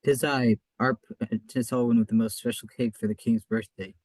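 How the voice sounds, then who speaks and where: A man's voice: medium pitch, steady tone, brisk, a little mellow, lightly acted. A man as an earnest, lovestruck apprentice. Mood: eager, dutiful. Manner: earnest, deferential. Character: dutiful, lovestruck young baker's apprentice. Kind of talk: old-time radio comedy acting.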